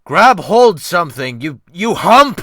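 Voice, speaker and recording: A man's voice: sits high, big pitch swings, slow, no echo, voice pushed up, exaggerated voice. Man playing a bellowing, impatient sea captain. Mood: urgent, harsh. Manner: shouting. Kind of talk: dramatic audiobook reading.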